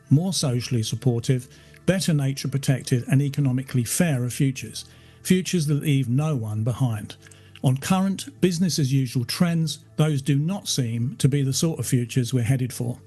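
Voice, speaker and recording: A man hosting a podcast, reading a formal, measured scripted introduction. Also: medium pitch, dark tone, husky. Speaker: podcast host.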